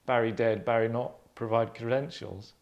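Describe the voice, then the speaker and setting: Middle aged guy, medium pitch, rambly earnest writer explaining his script. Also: steady tone, normal speed, darkish tone, dull audio. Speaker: scriptwriter reading stage directions. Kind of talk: narration and casual discussion. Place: furnished room indoors.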